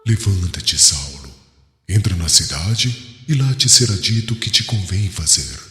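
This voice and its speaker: Man as very deep, echoing sacred voice. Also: animated, a little slow, pushed and bright, heavily acted. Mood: solemn, commanding. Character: solemn male sacred voices: Jesus and his devout follower. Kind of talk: dramatized audiobook acting. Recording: echoing reverb over music.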